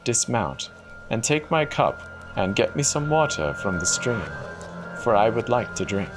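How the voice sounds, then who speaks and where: A man voicing a humble, gentle young princess in a storybook reading, formal and plaintive. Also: lowish voice, very animated, measured pace, music in the background. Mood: thirsty, requesting.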